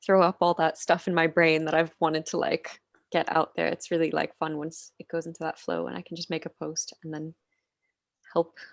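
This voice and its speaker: A woman in her twenties from Finland chats on a video call, her voice fairly low and the sound dry and close. She speaks softly, giggles often, and fills her sentences with "like". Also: level tone, normal speed, gravelly, no echo. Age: 20s. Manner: soft-spoken, giggly, many "like" fillers. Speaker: podcast guest. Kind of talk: casual interview chat.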